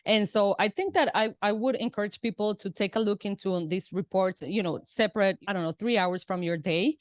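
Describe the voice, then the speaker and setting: A woman's voice: mid-range voice, a little fast, no room sound, studio quality. A woman whose first language is Spanish, speaking English as a guest in a casual interview, explaining at length with many "you know" and "right" fillers and restarts. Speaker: guest, Amazon seller.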